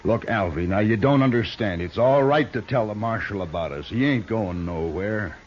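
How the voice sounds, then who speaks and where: An actor playing a folksy, menacing outlaw gang leader in an old radio western, with a drawling, mockingly genial way of talking. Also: male voice, fairly low pitch, moderate pace, close to an everyday voice. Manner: drawling rustic speech, mockingly genial, bullying. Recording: muffled, noisy old radio recording.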